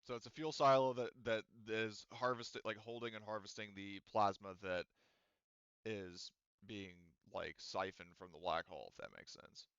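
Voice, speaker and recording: Male GM, low-ish smooth voice, rambly and hesitant, dry muffled online audio. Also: fairly even intonation, moderate pace, a bit bright, no echo. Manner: rambling, thinking aloud with many "um" and "like". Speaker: game master. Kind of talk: explaining rules and setting in a tabletop game.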